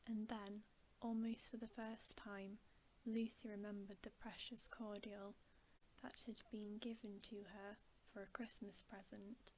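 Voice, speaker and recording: A woman reading a bedtime story in ASMR style, soft, breathy and often whispering, in a hushed, soothing manner. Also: mid-range voice, level tone, measured pace, a little hiss or hum, rough recording. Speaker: ASMR host reading aloud.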